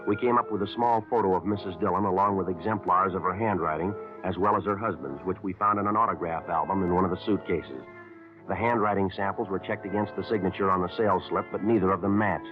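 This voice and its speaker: A man plays a terse police sergeant in a 1950s radio drama, very low-pitched and rattling through his report at a quick clip. He sounds clipped and flat, with no fuss. The audio is thin and tinny, with clear music underneath. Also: natural voice. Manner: clipped, flat, matter-of-fact. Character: terse male police sergeant narrating a case. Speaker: detective narrator.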